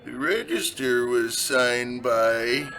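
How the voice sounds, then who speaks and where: An actor playing a slow, doddering, polite old baggage clerk in a noir radio comedy, halting and creaky. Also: male voice, lively intonation, over music. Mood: dithering, polite. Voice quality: creaky, high-pitched.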